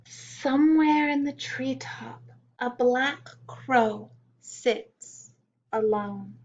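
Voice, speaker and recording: A woman reads a children's poem aloud at an unhurried, lingering pace, her voice gentle and a little high. She drops into soft hushed asides between the verses. The recording is dull and lo-fi. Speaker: storytime reader. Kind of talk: reading a picture-book poem aloud to children.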